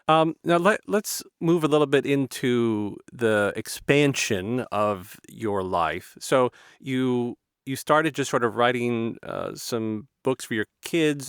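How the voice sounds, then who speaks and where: A man's voice: medium pitch, fairly bright tone, smooth, no echo, clean studio sound. A man hosting an interview, polite and agreeable as he guides the conversation along. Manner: polite, agreeable, measured segues. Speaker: interview host.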